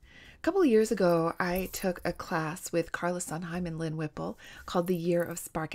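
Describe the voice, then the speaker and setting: A woman in her forties with a gravelly voice, talking casually to a webcam as a creative coach in her home studio. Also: medium pitch. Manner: warm, encouraging, animated with hand gestures. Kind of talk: casual direct-to-camera vlog. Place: home studio room with bookshelves and string lights. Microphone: webcam at desk distance.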